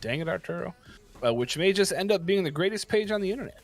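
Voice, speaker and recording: A man's voice: high-pitched, expressive, fast talker, faint music behind. A man co-hosting a morning show in a casual, playful style of banter and news reading.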